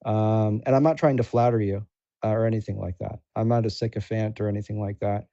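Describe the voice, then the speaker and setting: A man chatting casually as a guest, in an informal, halting, slangy way. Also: on the low side, steady tone, warm tone, no echo, highs cut off. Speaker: guest, supporter and content creator. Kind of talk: casual chat.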